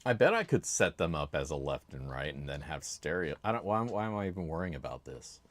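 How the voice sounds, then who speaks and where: A man hosting a casual livestream, chatty and laid-back, with a loud voice, talking into a desk microphone at his home streaming setup. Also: very deep voice, lively intonation, a little fast, dry recording. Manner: chatty, laid-back, reads chat names aloud. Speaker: livestream host.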